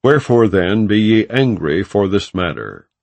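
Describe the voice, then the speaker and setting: Low-pitched man reading scripture, lively intonation. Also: vocal fry, no echo. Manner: measured, formal delivery of archaic English. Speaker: audiobook reader of scripture. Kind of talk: solemn scripted narration, non-dramatized Bible reading.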